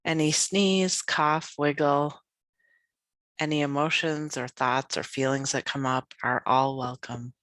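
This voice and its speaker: A woman leading a guided yoga nidra meditation as a teacher, speaking gently and soothingly with unhurried phrases and long pauses. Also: low-pitched, fairly even intonation, no room sound.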